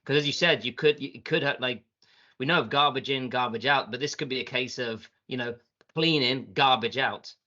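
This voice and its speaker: A man's voice: medium pitch, normal speed, pushed and bright, highs cut off. A man in a conversational professional discussion, summing up points and repeating words as he forms them. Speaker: panel discussion participant.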